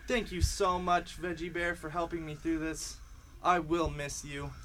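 A man's voice: fairly high pitch, flat delivery, measured pace, fairly bright tone, slight background noise. A man playing an earnest, homesick young schoolboy in an amateur radio drama, who cries out when startled. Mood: remorseful.

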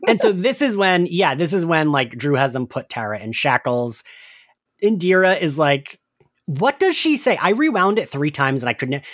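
A man co-hosting a casual recap chat, talking in an animated, conversational way with the odd swear word. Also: a little high, moderate pace, dry recording, clean studio sound. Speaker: podcast co-host.

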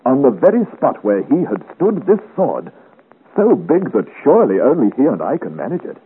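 A man's voice: medium pitch, huge pitch swings, moderate pace. An actor playing an earnest, gallant young swordsman in a 1950s radio serial, speaking in formal, courtly period diction. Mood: impassioned. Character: earnest, gallant young swordsman of great size, seeking his father's name. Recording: muffled, hissy old radio serial recording.

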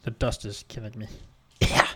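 A man's voice: normal speed, noisy background, close to an everyday voice. A man voicing a small, whiny, childlike male adventurer who stammers and hesitates. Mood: hesitant.

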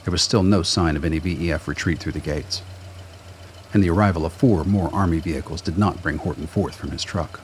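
A man with a very deep, husky voice reading scripted narration for a radio drama. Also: a little fast, a little hiss or hum.

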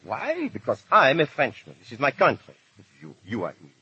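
A man playing an earnest, anxious French gentleman and loyal friend, speaking emphatically in a 1930s radio drama. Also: mid-range voice, wildly swooping pitch, fairly quick, slightly rough, exaggerated voice. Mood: earnest, concerned. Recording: muffled 1930s radio recording.

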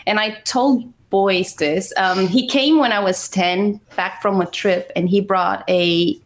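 A woman in her forties with a Romanian accent, talking in a relaxed conversational way. She tells long personal stories in an everyday mid-range voice and laughs often. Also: mid-range voice, slow pace. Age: 40s. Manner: chatty storytelling with frequent laughs. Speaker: guest. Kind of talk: casual conversation.

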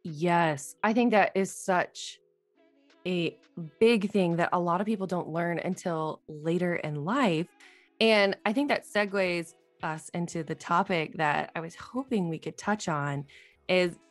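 A young woman hosts a relaxed podcast conversation, eager and encouraging as she sets up questions for her guest. Her voice is a touch lower than most women's, with soft music in the background. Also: a little slow. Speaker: podcast host. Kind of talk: casual chat.

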